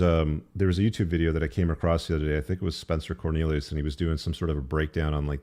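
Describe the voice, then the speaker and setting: A man's voice: very deep voice, darkish tone. A man speaking as an interview guest, explaining his opinions in a conversational, opinionated way. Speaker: podcast guest, author.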